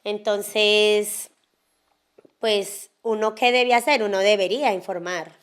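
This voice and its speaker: A woman with a Colombian accent from Cali, a guest in a podcast interview telling her story frankly in a relaxed way, with a lot of fillers. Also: mid-range voice, slow, clean tone. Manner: frank, relaxed, many fillers and little asides. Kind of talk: casual conversational storytelling.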